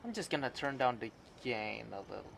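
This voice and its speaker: A man streaming a game, giving casual, halting commentary in short, repetitive reactions. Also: mid-range voice, very animated, vocal fry, fairly bright tone, a little hiss or hum. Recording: game music behind the voice.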